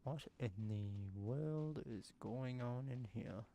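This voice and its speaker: A man's voice: mid-range voice, slow pace, creaky, mellow, dull audio, slight background noise. A man giving casual commentary while playing a video game, muttering puzzled asides to himself. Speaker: gaming streamer.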